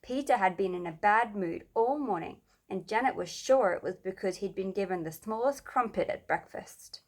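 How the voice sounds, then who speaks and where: Woman, storybook reader, children's narration, medium pitch. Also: expressive, normal speed.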